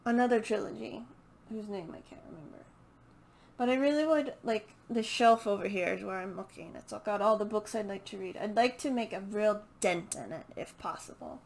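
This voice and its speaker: A woman chatting casually and unscripted as a book vlogger, hesitant and halting as she thinks aloud. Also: mid-range voice, vocal fry. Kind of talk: casual unscripted chat to camera.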